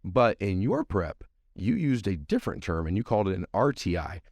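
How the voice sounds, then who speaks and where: A very deep-voiced man hosting a podcast, his voice hoarse and gravelly from allergies. He talks in a chatty, upbeat, rambling way. The sound is dry and close, with no room behind him. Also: big pitch swings, normal speed, a little mellow, dry recording. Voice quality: gravelly, scratchy. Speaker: podcast host.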